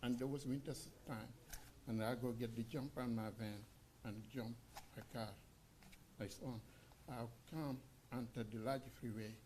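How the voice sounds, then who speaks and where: A man in his sixties with a Senegalese accent, speaking halting non-native English as he tells an informal anecdote in tribute. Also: mid-range voice, steady tone, a little mellow, slight background noise, rough recording. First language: a language of Senegal. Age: 60s. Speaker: mourner giving a tribute.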